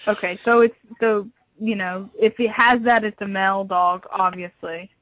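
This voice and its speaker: A woman's voice: medium pitch, steady tone, normal speed, fairly bright tone, no echo. A woman working at a pet store, explaining things patiently and a little hesitantly on a customer service phone call. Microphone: telephone.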